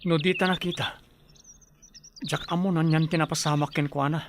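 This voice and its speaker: Guy acting worried younger brother out in the country, radio soap, kinda high voice, loud-ish birds behind. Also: fairly even intonation, moderate pace, natural voice. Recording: clear birdsong and ambient noise behind.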